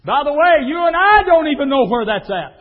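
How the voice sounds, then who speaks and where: A man preaching a fiery sermon, dropping to a low murmur and then rising to a shout. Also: big pitch swings, a little fast. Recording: tinny phone-like sound.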